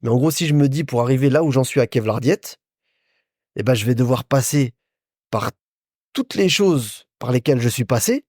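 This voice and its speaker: A man's voice: medium pitch, lively intonation, normal speed, darkish tone, dry recording, clean studio sound. Chatty young man giving business advice. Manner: chatty, addresses listener directly, repeats himself. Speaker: podcast host. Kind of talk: casual business advice talk.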